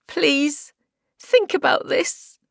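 A woman voicing a terrified young woman in an audiobook, crying and pleading haltingly for her life. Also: sits high, lively intonation, vocal fry, no room sound, clean studio sound, pitched above the performer's own voice, exaggerated voice.

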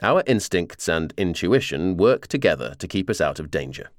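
A man reads a first-person memoir as a scripted audiobook narration, in a measured, clearly articulated way. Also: low voice, sing-song, no room sound, clean studio sound.

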